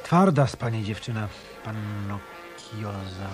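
A man's voice: on the low side, a little slow, over loud music, close to an everyday voice. A male actor playing a tough, wry hotel detective in a hard-boiled Polish radio play. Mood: calm, wry.

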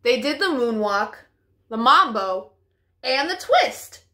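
High-voiced, animated young woman reading. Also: slow. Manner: animated, clearly paced for children. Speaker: storytime host. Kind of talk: expressive children's picture-book reading. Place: living room, indoors.

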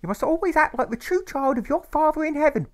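A man performs a distraught upper-class Edwardian mother in an audiobook, pitched extremely high. She gushes and shrieks in swooping, loud phrases, repeating herself with doting, jingoistic fervour. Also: expressive, fast talker. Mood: tearful, fervent. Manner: whimpering, shrieking, gushing, repetitive.

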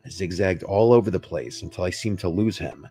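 Very deep-voiced male horror narrator. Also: brisk. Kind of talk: scripted first-person scary story narration. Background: music behind the voice.

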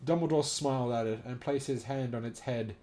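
A man's voice: mid-range voice, a little fast, warm tone. A young man reading a text aloud and adding casual, joking, sweary commentary in an amused way. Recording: noticeable background noise.

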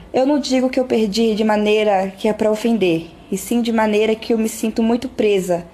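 A woman's voice: a little high, mellow, a little hiss or hum, close to an everyday voice. A woman playing an emphatic, wronged young wife in an amateur radio drama. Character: young wronged wife, insecure turned defiant.

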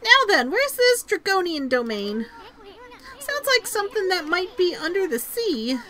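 A woman's voice: very high-pitched, big pitch swings, a little slow, sharp tone, pitched above the performer's own voice, exaggerated voice. A woman doing a small cocky companion. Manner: bragging, defensive.

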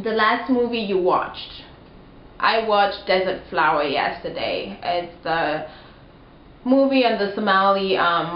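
A young woman from Cameroon chatting casually and expressively to camera as a video blogger, in an informal, emphatic way. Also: mid-range voice. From Cameroon, also lived in Kenya and Rwanda. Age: young adult. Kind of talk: casual chat to camera, answering tag questions. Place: small living room, indoors. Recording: dull, roomy home recording with faint noise.

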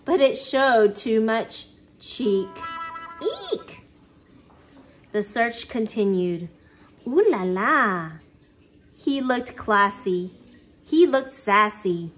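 A woman's voice: fairly high pitch, lively intonation, very slow, long pauses, slight background noise. A woman reading a children's picture book aloud expressively, acting out exclamations and sound effects. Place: living room at home.

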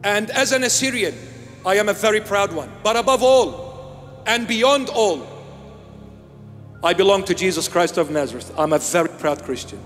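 High-voiced bishop preaching with emphasis. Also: male voice, expressive, moderate pace, fairly bright tone, in a large hall, soft background music. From Iraq. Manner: emphatic, repeating key phrases. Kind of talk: sermon. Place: small church with altar and candles. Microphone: handheld microphone.

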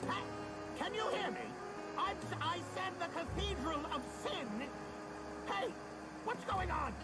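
An old, deranged serial killer from a video game. His delivery is pompous and grandiose, slow overall with wildly swinging pitch. Music plays behind him. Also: lively intonation. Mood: flustered. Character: theatrical, deranged sixty-year-old serial killer villain. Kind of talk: video game villain acting.

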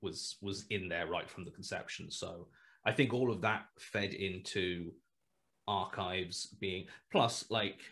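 A man in his thirties muses through a headset on a video call, his lowish voice circling back on itself with fillers and restarts. He picks his words slowly as he thinks aloud. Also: fairly bright tone. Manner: rambling, hesitant, many restarts and fillers. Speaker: guest writer. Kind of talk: casual video call interview. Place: small room at home.

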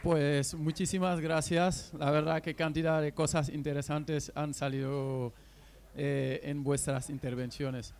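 A man's voice: a little high, level tone, measured pace, creaky, a little mellow. A man politely moderating a panel at a public event, speaking into a handheld mic. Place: panel table in an event tent.

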